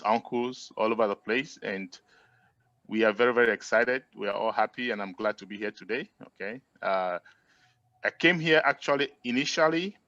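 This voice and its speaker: A middle-aged man from Cameroon, a guest in a casual interview who tells his story in a friendly, relaxed way with frequent "uh" fillers. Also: on the low side, no echo, dull audio.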